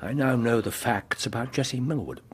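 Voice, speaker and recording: An actor playing a brisk, commanding gentleman detective with an English accent in a radio drama, precise and probing. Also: medium pitch, slight background noise, lightly acted. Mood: coolly incisive. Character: incisive, commanding middle-aged gentleman detective. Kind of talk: British radio drama acting.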